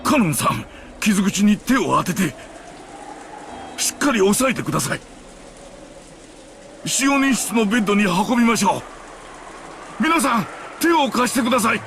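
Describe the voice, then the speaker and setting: A man's voice: high-pitched, sing-song, fast, long pauses, a bit bright, a little hiss or hum, exaggerated voice. A man playing a composed, dutiful servant in a game, giving formal, polite orders. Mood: urgent. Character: composed, dutiful male servant taking command. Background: game music.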